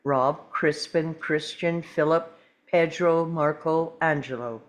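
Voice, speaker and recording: A woman narrating scripted stage directions at a table read, measured, with dramatic pauses. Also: sits low, fairly even intonation, muffled recording.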